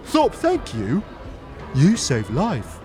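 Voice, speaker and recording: A man voicing a simple, earnest brute from a fantasy audio drama, speaking in halting broken sentences with stammered starts. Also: a little high, huge pitch swings, over music, voice pushed up, full character voice. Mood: grateful. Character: simple, earnest, hulking Thakola brute, slow-witted and grateful.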